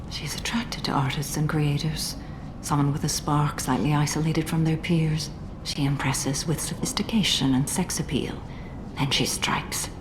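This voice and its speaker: Grave, low-voiced alien huntress. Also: female voice. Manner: measured, formal, grave. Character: ancient, solemn female alien warrior hunter, composed and grave. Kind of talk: video game voice acting. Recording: clearly noisy game ambience.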